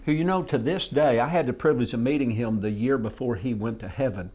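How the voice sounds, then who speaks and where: A man's voice: mid-range voice, fast talker, darkish tone. A man hosting a TV talk show, asking guests questions in a folksy, joking way. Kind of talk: casual TV interview. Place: television studio.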